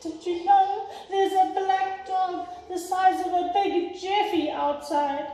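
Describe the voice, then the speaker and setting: A woman voicing a frightened, demanding young boy in a storybook, with drawn-out exclamations. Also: very high voice, steady tone, warm tone, voice pushed up. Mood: alarmed, demanding. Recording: roomy echo, distant mic, faint noise.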